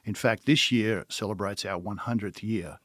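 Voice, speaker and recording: Australian winemaker guest, relaxed storyteller, low voice, dry booth sound. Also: male voice, moderate pace, no room sound. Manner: relaxed storytelling with some restarts. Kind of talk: casual podcast interview.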